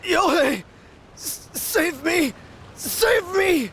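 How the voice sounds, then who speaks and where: Game role: possessed swordsman, creaky voice, wildly varied pitch, macho but pitiful, melodramatic. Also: very animated, sharp tone, slight background noise, full character voice. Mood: desperate pleading. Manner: drawn-out, melodramatic. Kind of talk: English video game voice acting.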